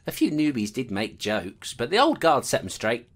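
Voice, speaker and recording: A woman playing a low-voiced, dogged constable. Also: sing-song, slightly rough, full character voice. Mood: earnest. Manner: earnest, brisk storytelling. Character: loyal, dogged female constable narrating her case. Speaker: narrator.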